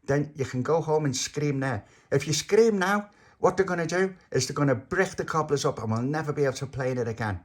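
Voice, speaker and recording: A man's voice: a little high, expressive, fairly quick, pitched above the performer's own voice. A man voicing a scheming, bossy little boy ringleader in a storytelling, urgent and persuasive in manner.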